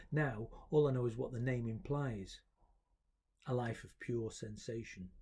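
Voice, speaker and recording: Husky, mellow man reciting poetry slowly. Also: mid-range voice. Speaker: poetry reader.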